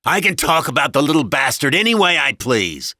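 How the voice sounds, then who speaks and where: A man voicing a gruff, domineering husband in an audiobook story, with a loud, belligerent, sneering manner. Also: a little high, big pitch swings, brisk, no echo, clean studio sound, pitched above the performer's own voice. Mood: angry, belligerent. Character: gruff, crude, domineering cigar-smoking husband.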